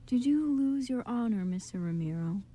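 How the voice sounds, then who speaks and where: A woman's voice: a little high, animated, normal speed, mellow. Woman as a haughty, menacing young council man. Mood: cold probing. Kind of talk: amateur radio drama reading.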